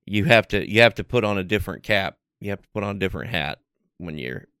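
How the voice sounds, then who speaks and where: A man's voice: fairly low pitch, animated, fast talker, bright, forceful tone, smooth, no room sound, studio quality. A man co-hosting a podcast in casual chat, rambling and repeating words as he thinks aloud. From United States. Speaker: podcast co-host.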